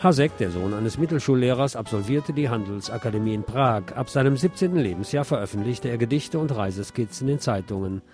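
A man hosts a radio programme, reading a scripted feature. His voice sits at a medium pitch. Music plays behind him. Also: lively intonation, brisk, few pauses. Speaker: radio host.

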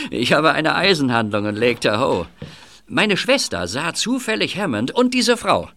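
Lively, composed tradesman. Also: a little high, unhurried, full character voice. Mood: candid, composed. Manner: nonchalant, matter-of-fact. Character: composed, stubborn hardware dealer who posed as photographer. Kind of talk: radio play acting.

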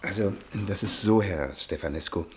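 A male actor as a courteous man of learning in a radio play. His voice sits a little low, and he speaks formally and haltingly, flustered and careful to stay polite. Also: slight background noise, lightly acted. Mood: polite, hesitant. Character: polite, flustered, hesitant male scholar visiting a newspaper office. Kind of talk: German radio play acting.

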